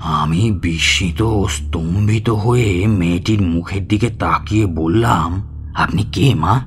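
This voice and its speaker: Very deep-voiced village physician. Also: male voice, slow pace. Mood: narrating, astonished. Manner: storytelling, polite, pleading. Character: village physician recounting his ghost encounter, respectful, earnest. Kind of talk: dramatised audio story narration. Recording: music behind the voice.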